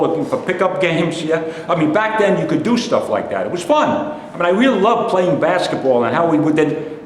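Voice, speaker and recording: A man's voice: a little high, lightly acted. A man acting a bitter, aggrieved middle-aged character in a staged play reading, stammering and argumentative. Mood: casual, rambling. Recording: echoey hall, distant mic, faint noise.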